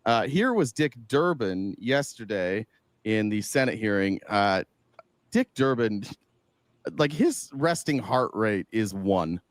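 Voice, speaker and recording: A man's voice: on the low side, very animated, slow, dry recording, clean studio sound. A man giving casual political commentary, emphatic, throwing out rhetorical questions and swearing freely.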